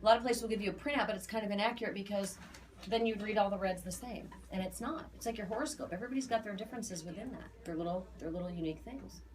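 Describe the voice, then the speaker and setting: An American woman explaining an aura reading to clients in a casual, emphatic, animated way, repeating phrases for emphasis. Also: medium pitch, very fast, slight background noise. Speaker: aura reader.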